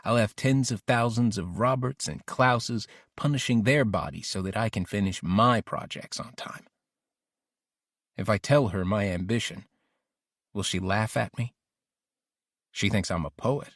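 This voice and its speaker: A man's voice: fairly low pitch, a little slow, no room sound, clean studio sound. A man narrating an audiobook diary as an ambitious, lovesick teenage boy, introspective and intense. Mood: grandiose. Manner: introspective, self-questioning, intense.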